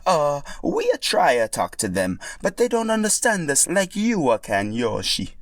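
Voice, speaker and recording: Excitable Italian plumber, high-pitched. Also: male voice, no room sound. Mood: concerned. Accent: put-on Italian. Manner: halting broken English, eager. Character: excitable Italian plumber hero with broken English.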